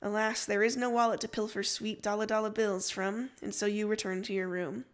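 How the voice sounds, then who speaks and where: A woman's voice: mid-range voice, little pitch movement, brisk. A woman reading a story aloud as a narrator, telling it in the second person.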